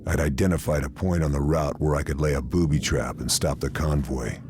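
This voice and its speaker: Grim American male sniper, extremely low voice, deadpan game narration over loud ambient noise. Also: a little fast. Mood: matter-of-fact. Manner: terse, deadpan. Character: grim American OSS sniper narrating his wartime mission. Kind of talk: scripted noir-style voice-over narration.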